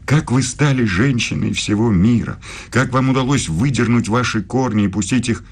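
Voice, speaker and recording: An actor in a radio play voicing a proud, aggrieved older German philosopher, formal, measured and self-justifying. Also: male voice, medium pitch, vocal fry, breathy edge. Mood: formal, pleading. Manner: measured, formal, deliberate speech.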